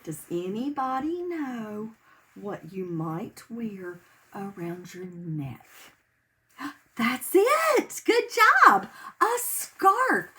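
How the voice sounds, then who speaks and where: A woman's voice: mid-range voice, very animated, very slow, pushed and bright. A woman teacher reading a picture book aloud to young children at home, in a sing-song way, explaining simply and asking them questions. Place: indoors at home.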